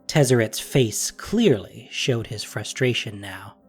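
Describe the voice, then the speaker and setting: A man narrating a scripted fantasy story in an expressive, dramatic way. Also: medium pitch, slow pace, husky, faint music behind.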